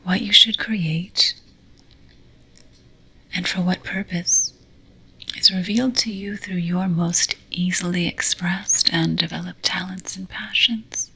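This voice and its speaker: A woman hosting ASMR, whispering a scripted ceremonial address of affirmations in a breathy, solemn, deliberate way. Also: on the low side, fairly even intonation, pushed and bright, rough recording. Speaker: ASMR roleplay host.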